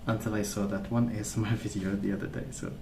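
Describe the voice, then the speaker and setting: A young man rambling in a gentle, soft-spoken ASMR style, musing aloud without hurry. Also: fairly low pitch, little pitch movement, fast talker. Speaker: ASMR video creator. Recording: echoey room, clear background noise.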